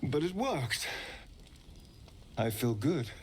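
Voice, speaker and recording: A man's voice: medium pitch, sing-song, slow, a little hiss or hum. Sly theatrical vampire for a video game, coaxing, takes his time, voice swoops around. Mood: satisfied, sly. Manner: theatrical, hesitant, coaxing delivery. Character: pleading, silky, theatrical male vampire, hungry and sly. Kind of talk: video game character acting.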